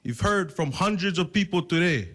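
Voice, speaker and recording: A man from Hawaii, giving forceful, emphatic public testimony at a hearing. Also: sits high, expressive, moderate pace.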